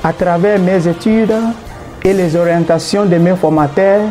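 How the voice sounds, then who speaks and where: A young seminarian from Ghana introduces himself in a formal, earnest way. His voice is mellow, and soft music plays underneath. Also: sits high, fairly even intonation, normal speed. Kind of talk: scripted self-introduction.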